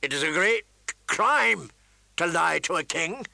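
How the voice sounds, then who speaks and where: A man's voice: on the high side, expressive, unhurried, creaky, pushed and bright, dry recording, pitched above the performer's own voice, heavily acted. A man voicing a burly, bearded king with a commanding, snapping, irritated manner in a storybook reading. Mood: stern command.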